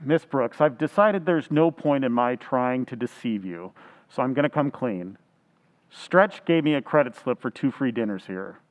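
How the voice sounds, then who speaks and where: A man's voice: mid-range voice, animated, moderate pace, long reverb tail, dull audio, natural voice. A man playing an earnest, proper and naive suitor in an old-time radio comedy, with an American accent.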